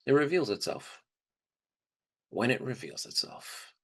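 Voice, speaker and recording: A man's voice: medium pitch, sing-song, a little slow, vocal fry. Candid man telling a personal story. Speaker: trip leader for student service trips.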